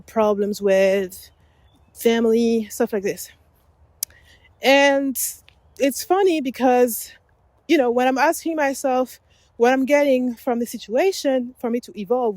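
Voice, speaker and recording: A woman's voice: a little high, darkish tone, no echo. A woman whose first language is French, hosting an unedited solo podcast while walking outdoors along a forest path, rambling and correcting herself as she goes. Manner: rambling, self-correcting, laughs often. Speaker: podcast host.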